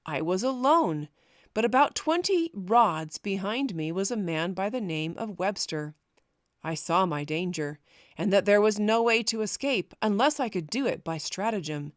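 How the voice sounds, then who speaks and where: A woman narrating a historical memoir as an audiobook, reading in a measured, formal way. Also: medium pitch, animated, no echo, studio quality.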